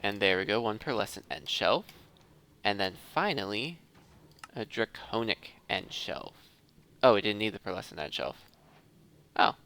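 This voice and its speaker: A man's voice: fairly low pitch, lively intonation, normal speed, gravelly, long pauses, pushed and bright, a little hiss or hum. A man hosting a gaming video, giving casual commentary and thinking aloud as he talks through each step. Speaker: host of a gaming video series.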